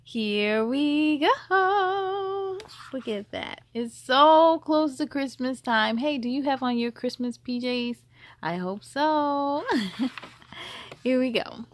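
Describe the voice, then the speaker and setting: A woman's voice: high voice, slow pace. A woman hosting story time, reading a children's storybook expressively and talking straight to her young listeners. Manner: expressive, addresses young viewers directly. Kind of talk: children's storybook read-aloud.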